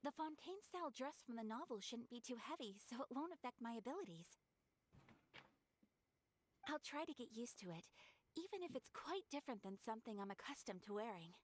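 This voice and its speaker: A woman voicing a gracious young noblewoman in video game dialogue, speaking calmly, politely and formally. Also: a little high, fairly quick. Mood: composed resolve. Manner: calm, refined, formal, polite.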